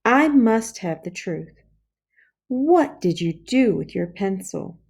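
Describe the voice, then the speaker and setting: Woman as a firm, measured schoolteacher. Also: medium pitch, sing-song, vocal fry, a little mellow, breathy edge, full character voice. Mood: stern, demanding. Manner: stern, questioning, measured. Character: firm but concerned female primary school teacher. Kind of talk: children's storybook character voice.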